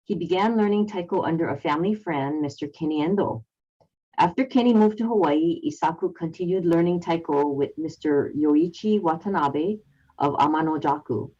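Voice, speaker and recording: A woman's voice: deep voice, moderate pace, mellow, highs cut off. A woman moderating an online panel, thanking a speaker and reading out the next guest's introduction.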